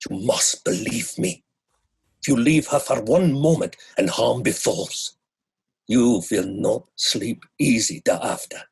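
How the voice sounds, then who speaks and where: A man's voice: mid-range voice, takes it slowly, vocal fry, darkish tone, slightly rough, full character voice. A man playing a grave, urgent vampire-hunting professor in a horror audio drama, with an ominous, emphatic delivery. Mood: grave warning.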